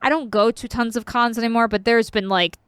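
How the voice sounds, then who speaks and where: Smooth-voiced chatty woman. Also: on the high side, steady tone, brisk, fairly bright tone, dry recording, studio quality. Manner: chatty, meandering storyteller. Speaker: podcast co-host. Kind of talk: casual chat.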